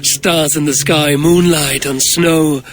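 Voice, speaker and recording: An actor playing a blunt, self-assured Viking warrior in a video game drama. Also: male voice, on the high side, steady tone, slow, soft background music. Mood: calm, reflective.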